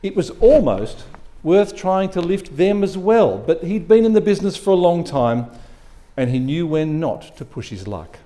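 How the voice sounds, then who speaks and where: A male story reader with a soft, mellow tone and a slightly high pitch. He narrates expressively, as at a live reading of short fiction. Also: big pitch swings, moderate pace.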